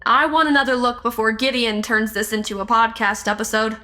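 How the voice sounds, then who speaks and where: An actress playing a practical, take-charge amateur sleuth in an audio drama, speaking directly with dry wit. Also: female voice, fairly high pitch, steady tone, a little fast, sharp tone. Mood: focused, urgent.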